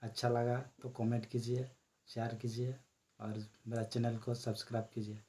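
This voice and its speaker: A man hosting his own channel, chatting casually to viewers and explaining a recorded call. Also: mid-range voice. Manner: relaxed, explains slowly with fillers. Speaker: channel host.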